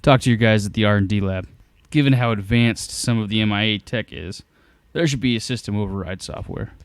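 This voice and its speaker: An actor playing a determined, clever inventor hero in an audio drama, explaining plans in an earnest, matter-of-fact way. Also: male voice, fairly low pitch, fairly quick, clean tone, no room sound, lightly acted. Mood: resolute, commanding. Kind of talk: scripted audio drama acting.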